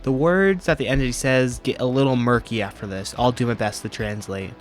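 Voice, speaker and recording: A man's voice: medium pitch, brisk, soft background music. A man hosting a video review, narrating and commenting from a script. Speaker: YouTube host reviewing horror videos.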